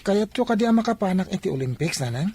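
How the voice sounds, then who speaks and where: High-voiced eager young village athlete. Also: male voice, animated, fast talker, warm tone, slightly rough, full character voice. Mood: earnest. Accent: Filipino, Ilocano. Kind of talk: radio drama acting.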